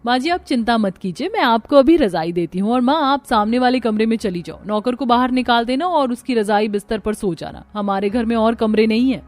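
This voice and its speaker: A woman's voice: fairly high pitch, brisk, few pauses, close to an everyday voice. A woman voicing a spoiled, snappy young married daughter in a cartoon story, petulant and scolding. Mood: dismissive. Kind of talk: Hindi cartoon story dialogue.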